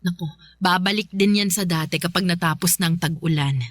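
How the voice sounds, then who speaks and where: A woman's voice: on the low side, moderate pace, a bit bright, dry recording, studio quality. An actress playing a kindly, chatty mother with a Filipino accent in a radio drama. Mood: concerned. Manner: homely, concerned, chatty. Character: kindly middle-aged mother welcoming her family.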